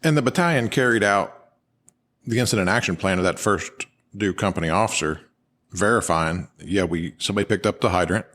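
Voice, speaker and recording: Deep-voiced man explaining informally. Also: fairly quick, a bit bright. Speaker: podcast co-host. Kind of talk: conversational analysis of firefighter radio traffic.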